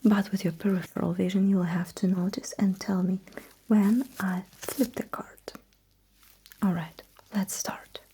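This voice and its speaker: A woman whispering in an ASMR roleplay as a technician, giving gentle, slow instructions in short phrases with a breathy, mostly unvoiced voice. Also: medium pitch, fairly even intonation, a little hiss or hum. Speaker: ASMR performer role-playing a sci-fi technician running calibration tests.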